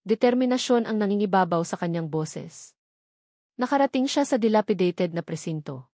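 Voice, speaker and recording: Woman doing scripted drama story narration, medium pitch, very little room sound. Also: animated, moderate pace, dark tone, no room sound, studio quality.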